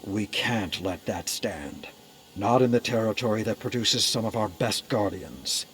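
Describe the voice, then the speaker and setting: An actor playing a resolute military commander in a video game, giving a grave, authoritative mission briefing. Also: male voice, on the low side, measured pace, fairly bright tone. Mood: stern, urgent.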